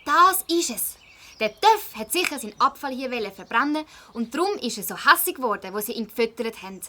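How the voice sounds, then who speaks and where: A woman playing an eager young girl detective in a children's audio play, earnest and animated, with a Swiss German accent. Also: a little high, a little fast. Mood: excited, certain.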